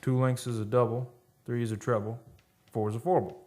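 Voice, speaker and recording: A man acts out a plain-spoken American oil field hand in a radio play. He has a mid-range voice and an easygoing, folksy manner, with rough grammar and a storyteller's way of explaining things. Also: mid-range voice, flat delivery, a little fast, darkish tone, natural voice. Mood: matter-of-fact storytelling. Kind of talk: radio play acting and narration.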